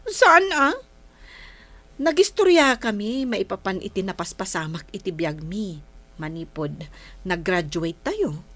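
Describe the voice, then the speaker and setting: An actress with an Ilocano accent playing a gentle, forgiving and modest young woman in a radio drama. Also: medium pitch, lively intonation, unhurried. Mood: bashful, defensive.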